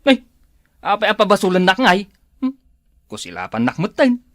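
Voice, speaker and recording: A woman's voice: mid-range voice, sing-song, moderate pace, dry recording, studio quality, lightly acted. A woman playing a grumbling, exclamatory elderly husband in an Ilocano radio drama. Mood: indignant.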